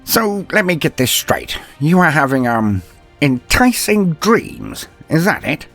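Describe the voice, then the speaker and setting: Man voicing a gruff, husky older inquisitor. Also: a little high, sing-song, slow, a bit bright, faint music behind, voice pushed up, exaggerated voice. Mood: incredulous. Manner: hesitant euphemisms, throat-clearing, teasing bluntness. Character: gruff older male inquisitor, blunt, worldly, fatherly mentor.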